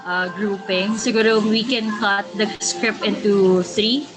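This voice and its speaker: A woman with a Filipino accent talks in a casual online meeting. Her pitch is average and her manner polite, as she moves between English and Tagalog. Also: fairly even intonation, measured pace, low-quality recording. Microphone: video call.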